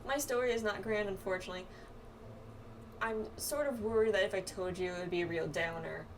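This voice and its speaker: A woman's voice: mid-range voice, a little fast, a little hiss or hum, lightly acted. Woman reading as a wistful young fantasy clanswoman, slightly distant. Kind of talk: reading game dialogue aloud.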